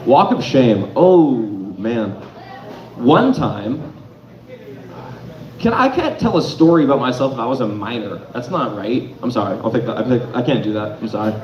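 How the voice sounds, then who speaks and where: A man's voice: mid-range voice, sing-song, normal speed. A man doing a self-deprecating stand-up set in a comedy club, rambling and stopping to correct himself. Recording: distant, echoey lo-fi club with crowd.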